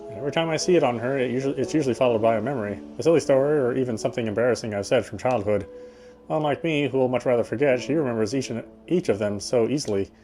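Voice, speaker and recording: A man's voice: mid-range voice, very fast, dark tone. A man reading a visual novel's narration aloud expressively, sometimes stumbling and going back over a phrase. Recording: game music behind the voice.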